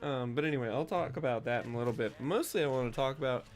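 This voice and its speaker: A man's voice: medium pitch, quick pace. A young man with a stuffy nose, chatting casually and rambling with many fillers while he streams a game. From United States. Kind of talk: casual gaming stream chat.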